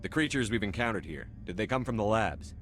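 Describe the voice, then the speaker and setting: Brisk, low-voiced male military commander. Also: lively intonation, fairly bright tone, faint music behind. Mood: businesslike. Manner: direct, terse, authoritative. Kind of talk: scripted video game dialogue.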